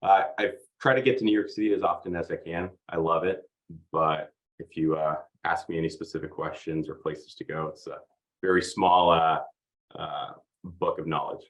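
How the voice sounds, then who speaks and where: A man's voice: low-pitched, steady tone, normal speed, poor audio. A young man from Oregon, a podcast guest chatting casually with many fillers. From United States, Oregon. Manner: easygoing, hesitant with many fillers. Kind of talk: casual interview chat.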